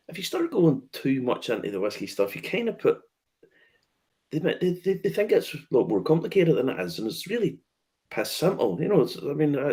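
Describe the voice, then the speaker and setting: A man hosting a casual chat about whiskey tastings, relaxed, laughing often and swearing casually as he restarts his sentences. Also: medium pitch. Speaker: host and whiskey tour guide.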